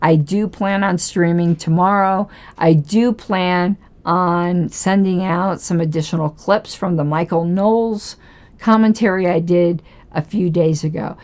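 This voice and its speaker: Hoarse woman livestreaming political commentary. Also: on the low side, steady tone, unhurried, darkish tone. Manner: argumentative, rhetorical questions.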